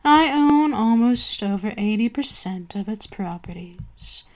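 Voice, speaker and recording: A cruel, seductive vampire queen, acted by a woman for an ASMR roleplay. She speaks very slowly, savoring each command with haughty, gloating authority. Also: medium pitch, lively intonation. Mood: proud, gloating.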